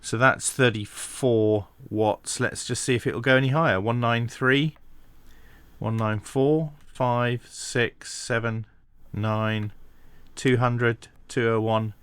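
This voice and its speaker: A man giving a hands-on tech tutorial, talking through each step methodically with pauses and dry asides. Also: mid-range voice, steady tone, fairly bright tone, smooth, dry recording. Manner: methodical, thinking aloud with frequent pauses. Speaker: radio reviewer.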